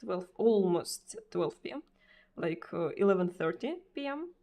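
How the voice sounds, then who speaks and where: A woman's voice: on the low side, expressive, a little slow, creaky, warm tone. A Russian woman streamer speaking English with a Russian accent in casual chat, hesitant, with many fillers and repeated words. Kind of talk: casual chat answering viewers.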